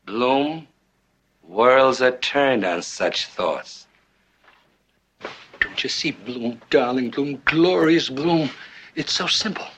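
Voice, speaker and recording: An actor playing a bombastic, scheming middle-aged producer, theatrical and swinging between coaxing and bellowing in broad comic film style. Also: male voice, medium pitch, expressive, slow pace, long pauses, background noise. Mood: grandiose, coaxing.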